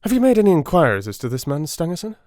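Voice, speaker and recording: A man playing a brilliant, commanding Victorian detective in an audiobook, questioning briskly and with authority. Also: fairly high pitch, no echo, clean studio sound, pitched above the performer's own voice. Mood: keen, commanding. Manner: rapid, animated, authoritative questioning.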